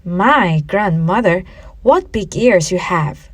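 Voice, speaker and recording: A woman's voice: on the low side, animated, slow pace, breathy edge, no room sound, exaggerated voice. A woman voicing an innocent, eager-to-please little girl in a storybook, with a naive, cooing manner. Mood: wondering. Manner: cooing, naive, bright-eyed. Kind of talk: storybook character voice.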